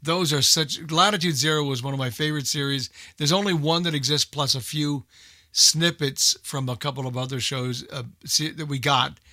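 A man's voice: a little high, steady tone, normal speed, sharp tone, dry recording, clean studio sound. An older man chatting casually about old-time radio as a host and collector.

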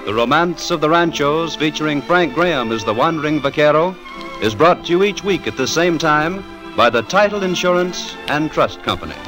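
A man's voice: on the high side, lively intonation, moderate pace, rough recording. A man announcing in formal, polished 1940s radio style. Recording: old radio broadcast over music.

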